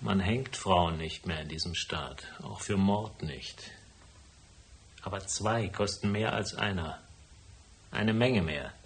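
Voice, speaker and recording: Gravelly, laconic private detective. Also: male voice, low voice, takes it slowly. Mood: dry, knowing. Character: cool, laconic hardboiled male private detective, shrewd and unflappable. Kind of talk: German radio crime drama acting with first-person narration.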